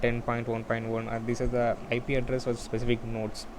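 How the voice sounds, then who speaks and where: A man giving an informal software demo walkthrough, explaining in a halting, repetitive way. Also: medium pitch. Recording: clear steady background noise.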